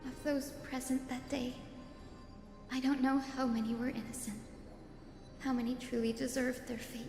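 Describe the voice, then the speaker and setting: A woman playing a gentle, earnest young healer in a game, soft-spoken and politely measured, with quiet resolve. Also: high voice, level tone, creaky. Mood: solemn, resolute. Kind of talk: dramatic game story acting. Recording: echoey hall reverb, music behind.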